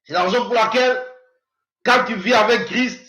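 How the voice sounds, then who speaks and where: A man in his fifties preaching a sermon as pastor, swinging from loud exhortation to hushed prayer. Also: high voice, moderate pace, echoey room. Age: 50s.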